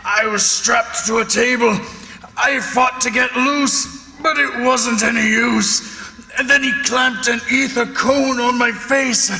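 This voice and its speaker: A man's voice: extremely high pitch, fairly even intonation, slow, gravelly, sharp tone, full character voice. A man playing a frightened, desperate male prisoner in an old-time radio melodrama, stammering and pleading. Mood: anguished recounting. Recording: echoey hall, faint music behind.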